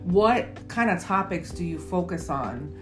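A woman's voice: lowish voice, animated, unhurried. A young woman from Miami hosting a casual podcast, upbeat and gushing, drawing out her emphasis. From Miami, USA. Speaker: podcast host. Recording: echoey room with guitar music.

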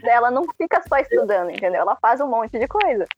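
A 24-year-old woman with a southern Brazilian gaúcho accent chatting on a podcast, relaxed and a bit hesitant. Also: fairly high pitch, quick pace, lo-fi sound. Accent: southern Brazilian gaúcho Portuguese. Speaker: podcast co-host. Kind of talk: casual podcast chat.

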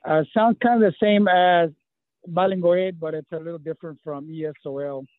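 A man's voice: fairly high pitch, steady tone, a little fast, no room sound. A man in his fifties from South Texas, Spanish his first language, talking in a casual interview with many fillers and restarts. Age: 50s. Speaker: guest, running coach. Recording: tinny phone-like line.

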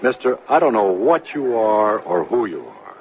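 An actor playing a brisk, condescending government receptionist, officiously polite and dismissive, in a 1970s radio drama. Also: male voice, fairly low pitch, sing-song. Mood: impatient. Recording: dull old radio broadcast, faint noise.

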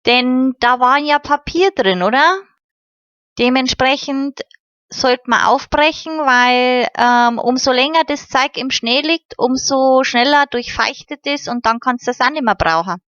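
A woman's voice: high voice, unhurried, a bit bright, smooth, no room sound, lo-fi sound, pitched above the performer's own voice. A woman voicing a careful, sensible boy, earnestly explaining.

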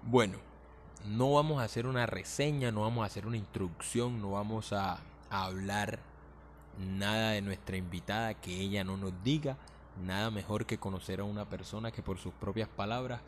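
A man's voice: on the low side, slight background noise. A man hosting an interview, speaking politely and wordily and repeating his phrases. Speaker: interview host.

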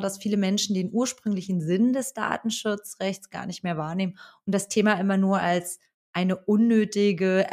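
A woman's voice: medium pitch, a little mellow. Woman hosting a podcast. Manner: carefully read intro with occasional fillers. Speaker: podcast host. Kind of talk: scripted podcast introduction and questions.

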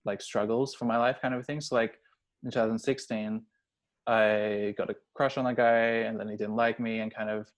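Young guy, kinda low voice, casual personal chat, hesitant n rambly, lots of likes. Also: little pitch movement, normal speed. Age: young adult. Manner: hesitant, rambling, heavy use of "like".

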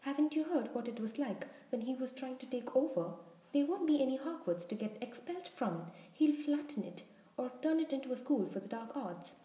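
A woman's voice: medium pitch, moderate pace, dark tone, natural voice. A woman voicing an impassioned, defiant schoolboy hero with urgent, insistent delivery in a storybook reading. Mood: angry, defiant. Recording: roomy, echoing sound.

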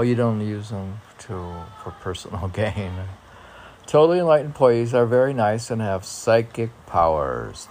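A man reading poems aloud informally as a poet, with halting, musing asides between the lines. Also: fairly low pitch, gravelly, a little mellow, slight background noise.

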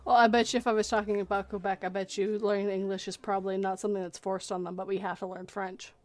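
A woman's voice: mid-range voice, level tone, fast talker, darkish tone. A woman with a Canadian accent chatting casually and jokingly on a livestream.

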